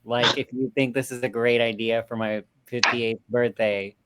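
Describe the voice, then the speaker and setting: A man chatting casually as a film panelist, feeling his way through halting, meandering sentences. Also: mid-range voice, steady tone, a crowd in the background. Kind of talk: casual movie discussion.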